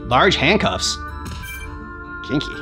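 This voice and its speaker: A man reacts casually to a game in a mid-range, animated voice. His asides are wry and joking, with music clearly playing behind him. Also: mid-range voice, slow. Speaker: reaction channel host.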